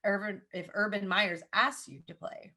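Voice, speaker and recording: A Southern American woman in her thirties talking as a guest on a podcast. Her voice is bright and forward. She delivers strong opinions in an animated way, piles on asides and repeats herself for emphasis. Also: medium pitch, normal speed. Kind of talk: casual podcast chat.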